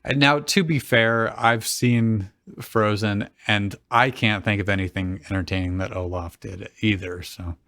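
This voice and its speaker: A man's voice: lowish voice, fairly even intonation, a little slow, pushed and bright, no room sound, studio quality. A man co-hosting, riffing casually on a story in a wry, nitpicking way. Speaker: co-host.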